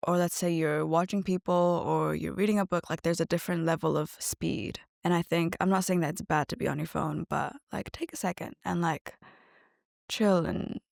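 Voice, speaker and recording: A young woman from Japan chats as a podcast guest, her low voice edged with a gravelly fry. She tells stories in an animated, filler-laden way. The sound is dry and close to the mic. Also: moderate pace, no room sound. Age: 20s. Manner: chatty, animated storytelling with many fillers. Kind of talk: casual chat.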